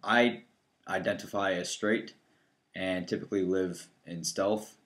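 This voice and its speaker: A young man in his early twenties talks plainly with a dry, wry touch. His voice sits low as he co-hosts a casual chat. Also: fairly even intonation, unhurried, fairly bright tone. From northeastern Ohio. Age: 20s. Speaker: co-host.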